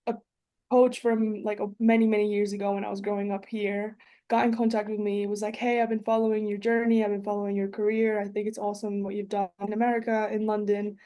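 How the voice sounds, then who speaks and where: A young woman from Colombia talks through her football life as a podcast guest. Her voice is warm and relaxed, sitting at a middle pitch. She rambles a little, with fillers and restarts. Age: 20s. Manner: chatty, many fillers and restarts. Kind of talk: casual interview chat.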